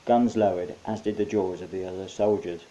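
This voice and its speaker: A man's voice: deep voice, steady tone, brisk, dark tone, rough recording. A man narrating his own short story as an author reading from a script.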